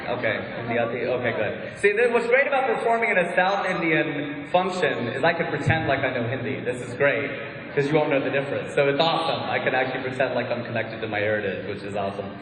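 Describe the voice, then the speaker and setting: A man's voice: mid-range voice, animated, quick pace, fairly bright tone. A man from Ohio with a Midwestern American accent doing a chatty, self-mocking stand-up comedy set. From Ohio, USA. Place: large hall with audience. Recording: echoey hall.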